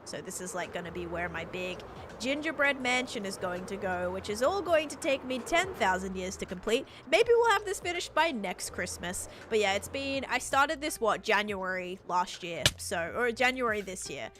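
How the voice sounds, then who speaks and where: A woman's voice: medium pitch, very animated, moderate pace, noisy background. A young woman, a little congested, chatting casually on a live stream and reading chat aloud in a rambling way. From Australia. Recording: music playing underneath. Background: background music.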